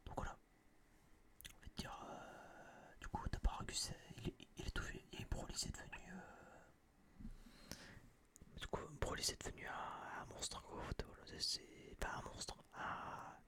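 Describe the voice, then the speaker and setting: A young man whispering close to a binaural microphone in a casual, rambling, blunt ASMR review style, with a breathy, unvoiced voice. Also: a little slow, pushed and bright. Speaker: ASMR channel host reviewing a film.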